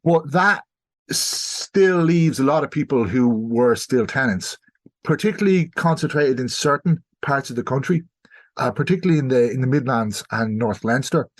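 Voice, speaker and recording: An Irish man talks as the expert guest on a history podcast. His manner is measured and academic, and he reworks his phrasing as he explains. His pitch sits in an ordinary middle range. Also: animated. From South Kildare, Ireland. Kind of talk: explanatory interview talk.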